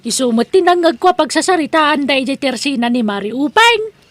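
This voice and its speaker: A woman's voice: high-pitched, expressive, fairly quick, a bit bright. A woman playing a sensitive, good-hearted married daughter in an Ilocano audio drama, earnest and plaintive with an Ilocano accent. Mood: friendly, eager.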